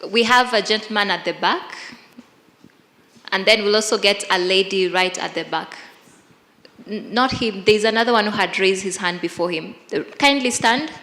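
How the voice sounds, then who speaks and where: A woman with a medium-pitched voice moderates a live panel, directing who speaks next. The sound is heavily echoed, with the long tail of a big hall. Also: moderate pace, bright, forceful tone. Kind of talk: directing a live question session. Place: large conference hall, public address.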